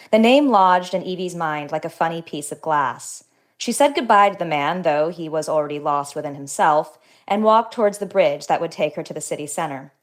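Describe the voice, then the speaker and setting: A woman novelist talking in an interview, thoughtful, articulate and measured. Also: fairly low pitch. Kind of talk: book reading and conversational interview answers.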